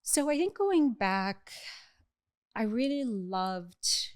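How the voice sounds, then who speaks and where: A middle-aged woman from Bulgaria, raised abroad, speaking thoughtfully and in a measured way as an interview guest. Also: a little high, sing-song, dry recording, studio quality. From Bulgaria, raised in Iraq and Cuba. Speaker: podcast guest, agency chief executive. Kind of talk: reflective interview conversation.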